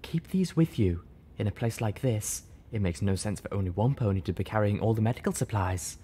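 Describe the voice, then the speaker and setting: A man's voice: lowish voice, expressive, brisk, husky. Man voicing a prim, caring mare medic. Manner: prim, gently scolding. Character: caring, bossy female unicorn pony medic.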